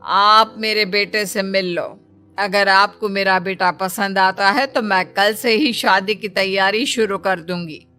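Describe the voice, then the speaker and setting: A woman's voice: medium pitch, fairly even intonation, a little slow, pushed and bright, clear, resonant tone. Haughty scheming rich mother-in-law, voiced by a woman. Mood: businesslike, commanding. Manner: imperious, calculating. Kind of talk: storybook character acting. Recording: music bed behind the voice.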